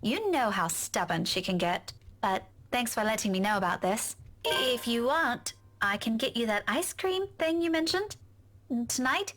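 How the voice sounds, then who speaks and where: A woman's voice: fairly high pitch, sing-song, a little slow, a bit bright, slight background noise. An actress playing a young female teacher, caring but firm, in a visual novel game. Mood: concerned, gentle.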